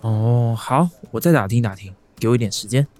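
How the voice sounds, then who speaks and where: A man's voice: medium pitch, a little fast, darkish tone, close to an everyday voice. An actor with a Taiwanese Mandarin accent playing a startled, obliging older office man in a radio drama. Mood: flustered. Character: flustered, obliging older male office contact.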